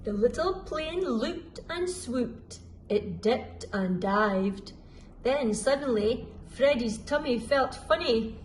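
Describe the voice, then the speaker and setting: A woman reading a children's story aloud expressively, deliberately drawing out the dramatic sound words. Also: fairly high pitch, lively intonation, slight background noise, poor audio. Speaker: school storyteller.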